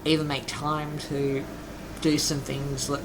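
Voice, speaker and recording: A man talking as a guest in a casual interview, hesitant and halting with frequent restarts. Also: on the high side, flat delivery. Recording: noisy background.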